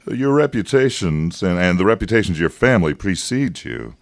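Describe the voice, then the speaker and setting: Guy playing a smooth evasive govt agent, patronizing, low voice, super dry close recording, radio drama. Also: lively intonation, brisk, no room sound. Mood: guarded, persuasive. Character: evasive, persuasive male government agent, slick and patronizing.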